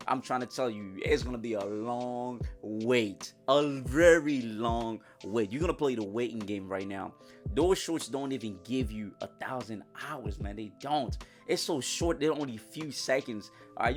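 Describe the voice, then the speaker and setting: Young man from Congo, French native, upbeat vlogger, lots of slang, middle pitch, faint music bed. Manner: energetic, slangy, addresses viewers directly. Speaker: YouTuber. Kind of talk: casual motivational vlog talk.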